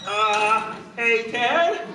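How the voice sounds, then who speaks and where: A man's voice: very high voice, expressive, very slow, over music, exaggerated voice. A man voicing a timid, dim-witted henchman in a comic radio play, hesitant and whining. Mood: whiny, nervous. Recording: echoey hall, far mic, faint noise.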